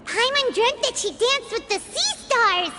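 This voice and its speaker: A woman voicing a tiny, excitable childlike fairy companion with a squeaky voice in an animated video game. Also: measured pace, loud music behind the voice. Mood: cheerful, proud.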